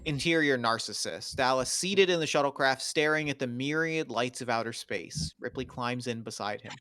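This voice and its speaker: Guy reading stage directions for a sci-fi table read, medium pitch, scripted narration. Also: moderate pace, pushed and bright.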